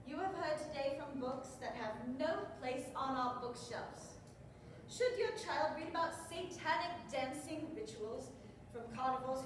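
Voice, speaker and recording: Echoey noisy hall recording, high female voice, woman playing prim moralizing prosecutor, formal rhetorical delivery. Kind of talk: live stage readers theater. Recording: distant mic, echoey room, clear noise.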